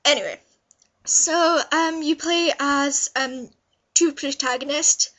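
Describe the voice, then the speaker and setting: A woman's voice: high-pitched, slow pace, sharp tone. A young woman chatting casually as she gives a review, in a gushing, informal, rambling way. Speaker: vlogger reviewing visual novels. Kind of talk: casual review chat to camera.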